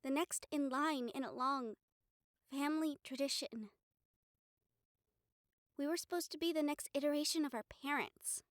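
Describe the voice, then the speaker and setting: A woman playing a troubled, emotive newborn vampire and former hunter in an audio roleplay, speaking with sighs and pauses. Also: high-pitched, dry recording, studio quality, lightly acted. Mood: wistful, subdued. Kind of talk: dramatic audio roleplay acting.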